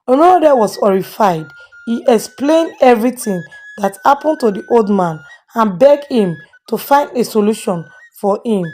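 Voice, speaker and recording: A woman's voice: fairly high pitch, mellow. A woman storyteller hosting and dramatically narrating a moral tale. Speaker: storyteller and channel host.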